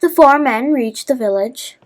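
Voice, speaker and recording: Slow, high-voiced woman storyteller. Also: expressive. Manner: halting reading with stumbles over words. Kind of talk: scripted children's story narration.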